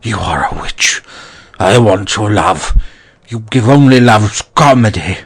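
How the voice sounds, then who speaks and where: A man's voice: fairly low pitch, expressive, a little slow, breathy edge, faint music behind, full character voice. A man playing an earnest, lovesick lover, ardent and melodramatic, in an audiobook verse drama. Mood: hurt, pleading.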